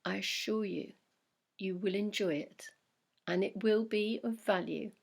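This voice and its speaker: A woman voicing a wise male owl, speaking in a measured, coaxing way. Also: mid-range voice, steady tone, gravelly. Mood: persuasive.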